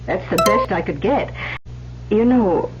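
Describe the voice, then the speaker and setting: A woman's voice: medium pitch, expressive, unhurried, over music, natural voice. A woman playing a gushing, politely patronizing literary agent in an old-time radio drama. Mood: placating. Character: gushing, smooth-talking female literary agent. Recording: muffled, noisy old radio recording.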